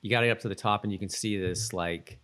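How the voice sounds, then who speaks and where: A man's voice: fairly low pitch, fairly bright tone. A middle-aged man co-hosting a podcast in casual chat, hedging and often correcting himself as he goes. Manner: hedging, halting, self-correcting. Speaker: podcast co-host.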